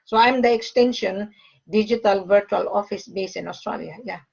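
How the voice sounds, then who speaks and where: A woman's voice: mid-range voice, moderate pace, gravelly, a little mellow, slightly rough. An older woman from the Philippines talks business over a call. She speaks emphatically and repeats her main points. The audio comes through muffled and dull. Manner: emphatic, repeats key phrases. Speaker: gold sales facilitator. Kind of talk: casual call conversation.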